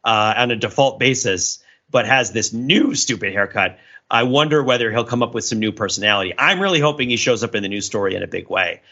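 A man hosting a podcast in casual chat, rambling through explanations in an animated, chuckling way. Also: medium pitch. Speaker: podcast host. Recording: dull, lo-fi audio.

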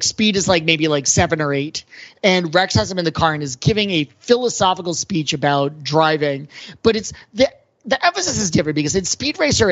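Man explaining films, slightly lo-fi sound. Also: a little high. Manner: halting, repeats words while explaining earnestly. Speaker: podcast co-host. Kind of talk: casual film analysis.

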